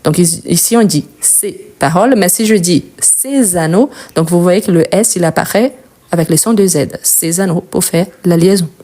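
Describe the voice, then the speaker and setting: A young woman from Brazil, a native Portuguese speaker, teaching French grammar as a teacher and slowly repeating example words for her learners. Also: on the low side, mellow.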